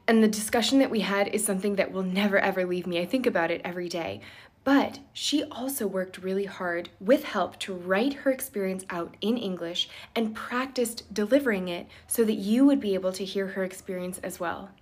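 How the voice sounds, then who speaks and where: A woman's voice: medium pitch, fairly quick. Earnest young woman speaking to camera. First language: Japanese. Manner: earnest, heartfelt direct address. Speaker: presenter introducing a guest. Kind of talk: talking to camera on social video. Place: indoor living room, on a sofa.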